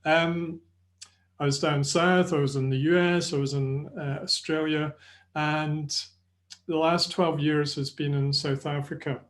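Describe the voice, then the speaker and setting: A man's voice: fairly high pitch, little pitch movement, a little slow. A middle-aged man with a Scottish accent presents a live online lecture over a video call, friendly and chatty, with fillers and restarts. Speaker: webinar presenter. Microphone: video call webcam.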